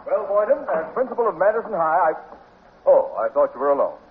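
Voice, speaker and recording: An actor playing a pompous, blustering high school principal with an oratorical manner in a 1950s radio comedy. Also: male voice, sing-song, fast. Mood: stern, suspicious. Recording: tinny old radio broadcast, faint noise.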